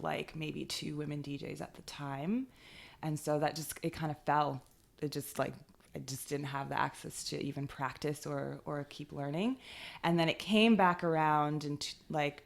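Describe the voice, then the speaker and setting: Low-voiced chatty woman DJ. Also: gravelly. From Canada. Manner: chatty, laughs often, lots of "like" and "you know what I mean". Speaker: DJ and producer guest. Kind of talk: casual interview conversation.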